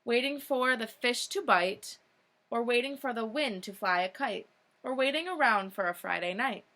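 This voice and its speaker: A woman's voice: fairly high pitch, normal speed, sharp tone. A young woman, a teacher, reading a children's picture book aloud expressively, with short informal asides.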